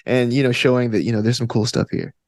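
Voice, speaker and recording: Guy from the american south, geeky podcast guest, chatty and enthusiastic, mid pitch, dry sound. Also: fast talker, a little mellow, dry recording. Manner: enthusiastic, informal, peppered with "like" and "you know". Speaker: podcast guest, actor and gamer. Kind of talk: casual interview chat.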